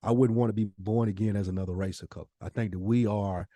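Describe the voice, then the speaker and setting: A man's voice: lowish voice, steady tone, quick pace, warm tone, no room sound. An American man talking casually as a podcast co-host, informal and slangy, giving his opinions freely. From United States. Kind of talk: casual conversational podcast chat.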